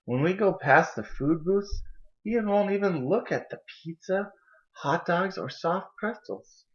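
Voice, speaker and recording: A man's voice: sits high, expressive, unhurried. Young man reading aloud, dull lo-fi sound. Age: young adult. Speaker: storybook reader. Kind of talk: children's picture book read-aloud. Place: indoor kitchen and living room. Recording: dull, lo-fi camera audio.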